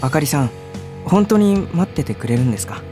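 A woman's voice: deep voice, sing-song, brisk, over music. A woman acting in a radio drama as an earnest young male junior coworker, polite and boyish, with a teasing side.

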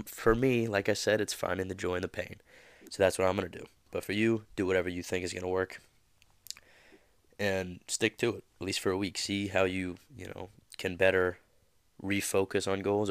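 Low-voiced, slightly nasal man with a cold. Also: gravelly, dry recording. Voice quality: a little nasal. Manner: casual, rambling, many fillers. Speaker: podcast host. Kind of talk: motivational podcast monologue.

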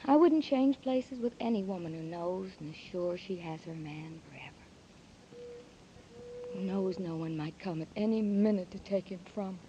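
An actress playing a devoted young woman in love, speaking in breathy, intimate whispers and anxious pleas in a 1940s radio drama. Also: lowish voice, normal speed, warm tone, slight background noise, close to an everyday voice. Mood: tender. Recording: dull lo-fi 1940s radio broadcast.

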